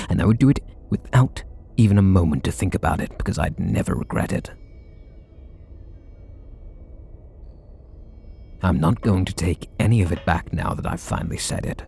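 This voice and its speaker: A man voicing a flustered, tender super villain in an ASMR roleplay, speaking softly and intimately. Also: animated, fairly quick, full character voice. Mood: confessing. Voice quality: low gravelly husky voice. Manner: soft and intimate, bashful.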